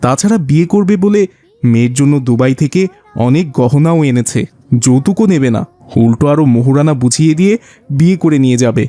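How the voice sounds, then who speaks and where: A man voicing a gentle, reasonable middle-aged father in an Islamic cartoon, explaining things patiently and affectionately. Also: mid-range voice, lively intonation, a little fast, no room sound, lightly acted.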